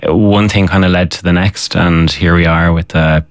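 A young man speaks as a chef guest in a radio studio. His voice is very low, and he halts often with ums and uhs. The sound is dry and close to the mic. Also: steady tone, moderate pace, dry recording. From Ireland, Dublin. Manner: hesitant, with frequent fillers. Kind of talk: interview answers.

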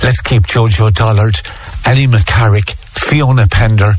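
A man's voice: fairly low pitch, steady tone, unhurried, slight background noise. A man with an Irish accent hosting live radio talk and interviews. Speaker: radio show host.

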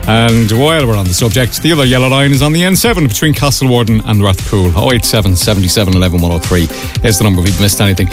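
A middle-aged Irish man hosting a morning radio show, chatting casually and jokingly with animated, exaggerated delivery. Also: on the low side, fast, over music. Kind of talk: casual breakfast radio chat. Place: radio studio.

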